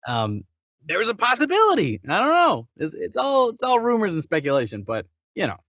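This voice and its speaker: A man's voice: high-pitched, wildly swooping pitch, fairly quick, fairly bright tone, no echo, studio quality. A man hosting a discussion show, giving opinions casually and emphatically, often repeating phrases as he builds his point. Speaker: host of a movie news show.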